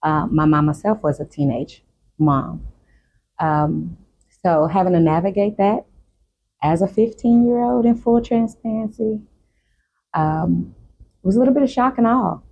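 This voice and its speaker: A woman guest on a talk show, telling her personal story in a reflective, candid way. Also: lowish voice. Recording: dull sound with background noise.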